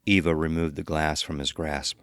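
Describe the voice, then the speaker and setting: A man's voice: extremely low pitch, flat delivery, moderate pace, fairly bright tone, clean tone. A man narrating a scripted horror story as the storyteller of an audio drama.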